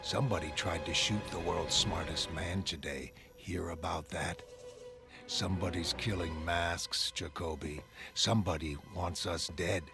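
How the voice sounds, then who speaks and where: Masked vigilante, very low, gravelly, terse, paranoid, menacing, motion comic drama, loud music behind. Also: male voice, steady tone, measured pace. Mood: quiet menace.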